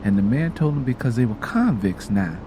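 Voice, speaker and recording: A man's voice: medium pitch, lively intonation, fairly quick, warm tone, slight background noise, pitched below the performer's own voice, close to an everyday voice. A man voicing a sorrowful mother who recounts hard family history in a plainspoken, reflective, folksy way. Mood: somber.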